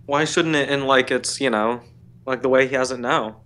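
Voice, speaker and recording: Casual man with a mid-pitched voice. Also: fairly even intonation, moderate pace, a bit bright. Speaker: voice chat participant. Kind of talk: casual fan debate.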